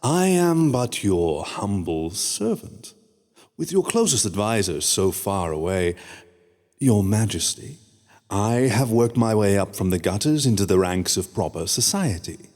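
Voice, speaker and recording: A sly pony upstart who flatters and schemes in a smooth, lowish voice, as a man acts him out. He speaks with a long hall reverb, like a radio play. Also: unhurried. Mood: scheming. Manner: smooth, fawning and sly, with soft chuckles. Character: scheming, oily male pony upstart courtier. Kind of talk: fan-made radio drama.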